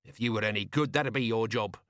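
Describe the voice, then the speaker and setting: A man's voice: lowish voice, fairly quick, a bit bright, dry recording, clean studio sound, close to an everyday voice. A man voicing a weary, irritable police commander with an exasperated, sardonic manner in a storybook reading.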